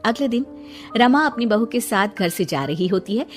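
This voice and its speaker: A woman's voice: on the high side, expressive, normal speed, faint music behind. A woman narrator reading a scripted story in a dramatic storytelling style.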